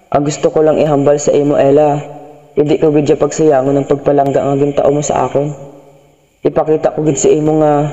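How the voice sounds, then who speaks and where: Radio drama lover: devoted, humble young man amateur actor, Hiligaynon accent, high-ish mellow voice, muffled recording. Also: long reverb tail, lightly acted. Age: young adult.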